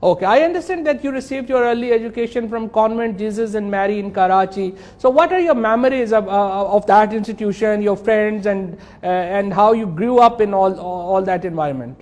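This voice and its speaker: Man hosting an interview, really high voice, halting questions. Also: darkish tone. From Pakistan. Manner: halting, drops into Hindi-Urdu phrases. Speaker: interview host.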